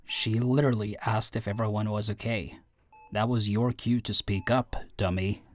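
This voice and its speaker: A man's voice: fairly low pitch, steady tone, normal speed, lightly acted. A man playing a teasing, caring young teammate in an audio roleplay, with a wry manner. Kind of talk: audio roleplay drama acting.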